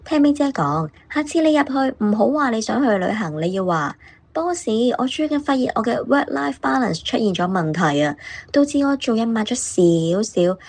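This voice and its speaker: A woman plays a worldly senior female colleague in a workplace radio drama. Her fairly high voice swoops expressively as she coaches, knowing and coaxing, leaning theatrically on key words. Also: big pitch swings, moderate pace, darkish tone. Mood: teasing, coaching. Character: savvy older female colleague, slyly coaching a junior.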